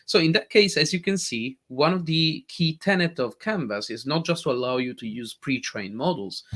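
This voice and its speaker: A man with an Italian accent, a native Italian speaker, eagerly walking viewers through a live software demo as a tech presenter. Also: mid-range voice. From Italy. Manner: eager, animated explaining.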